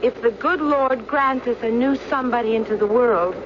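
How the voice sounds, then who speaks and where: An actress in a radio drama playing a calm, commanding stewardess who takes charge in an emergency. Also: female voice, a little high, normal speed, natural voice. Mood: firm, reassuring. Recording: dull, noisy old radio drama recording. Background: aircraft cabin drone.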